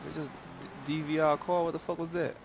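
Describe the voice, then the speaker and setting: A man with a gravelly, fry-edged voice streams a video game. He talks casually with lots of slang and swearing. Game and vehicle noise runs clearly behind him. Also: fairly high pitch, level tone, quick pace. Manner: slangy, profane. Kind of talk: casual livestream gameplay chat. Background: game ambience, vehicle sounds.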